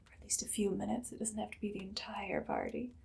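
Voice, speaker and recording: Woman playing a whispering evil queen, softly menacing. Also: mid-range voice, expressive, vocal fry, husky, heavily acted. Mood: haughty, controlled. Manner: soft, slow, commanding, mostly whispered. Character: evil, menacing queen giving orders with dry humor. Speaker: ASMR roleplay performer. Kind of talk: whispered ASMR roleplay.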